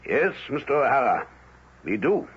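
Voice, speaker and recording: A man's voice: medium pitch, very animated, normal speed, slightly rough. An actor playing a coolly courteous, sinister male village elder in an old-time radio drama, formal and condescending. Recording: muffled, noisy vintage radio recording.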